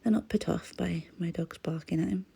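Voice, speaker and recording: Woman rambling over her crafting, croaky and gravelly, low mellow voice. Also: steady tone, a little fast. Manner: rambling, chatty, often drops to a whisper. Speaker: crafting vlogger. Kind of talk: casual voice-over chat while crafting.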